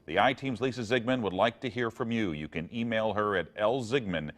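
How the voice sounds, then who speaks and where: A man's voice: lowish voice, brisk. A man with an American accent reading scripted television news as an anchor.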